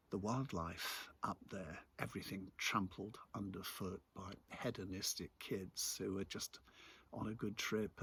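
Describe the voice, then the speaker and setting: A middle-aged English man giving a reflective spoken monologue in a measured, conversational, musing way. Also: deep voice, bright, forceful tone, husky. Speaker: local commentator and host.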